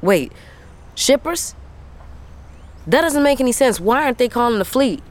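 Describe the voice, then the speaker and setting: An actress playing a stressed, sharp-tongued, weary female long-haul trucker in a naturalistic audio drama. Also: on the high side, expressive, moderate pace, slight background noise, lightly acted. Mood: puzzled. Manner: blunt, exasperated.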